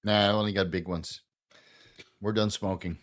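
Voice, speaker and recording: A man's voice: fairly low pitch, fairly even intonation, moderate pace. A man in his forties from the Netherlands, with a slight Dutch accent, hosting a casual Q&A stream while tipsy, rambling loosely and swearing freely. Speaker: host. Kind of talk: casual livestream Q&A.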